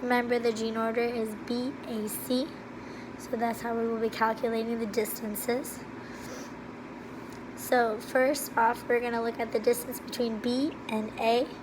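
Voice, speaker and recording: A hoarse woman with laryngitis, presenting a recorded walkthrough of gene-mapping calculations step by step in a steady, methodical way. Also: a little high, a little slow, noisy background. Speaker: student presenter.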